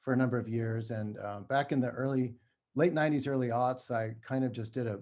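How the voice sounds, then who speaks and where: A middle-aged man from New Mexico being interviewed, talking casually and haltingly, often correcting himself. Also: medium pitch, fairly even intonation, a little mellow. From New Mexico, United States. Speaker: podcast guest, writer.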